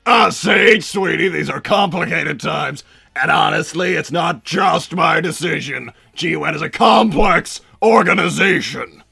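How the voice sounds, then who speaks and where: Bombastic cartoon villain scientist, middle-aged male, forceful, gravelly delivery, exclaiming theatrically in a livestream Q&A, music underneath. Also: a little high, a little slow, heavily acted. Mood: indulgent, exasperated. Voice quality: gravelly, raspy. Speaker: livestream host in character. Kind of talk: improvised in-character livestream Q&A, reading out viewer messages.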